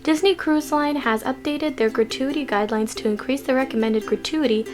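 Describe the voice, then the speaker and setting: A young woman from California hosting a casual news vlog from her bedroom, keeping her voice lowered and stumbling a little as she reads articles aloud. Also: a little fast. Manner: hushed and lowered to stay quiet, stumbling over words while reading. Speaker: vlog host. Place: messy bedroom at home. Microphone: desk mic seen in corner of frame. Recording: background music playing under voice.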